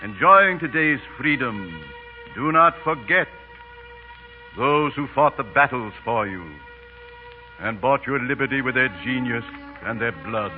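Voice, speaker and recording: An actor playing a solemn, eloquent orator giving a funeral oration in grand, declamatory 1930s radio style. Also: male voice, mid-range voice, expressive, soft background music, natural voice. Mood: solemn, exalted. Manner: slow, declamatory, exalted. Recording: muffled 1930s radio broadcast recording.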